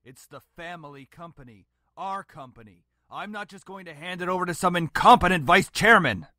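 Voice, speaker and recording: A man's voice: mid-range voice, expressive, unhurried, no echo, close to an everyday voice. A man playing a stern, contemptuous middle-aged father in a game, with a scolding, dismissive manner. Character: stern, domineering, contemptuous father of a grown daughter. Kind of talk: dramatic game voice acting.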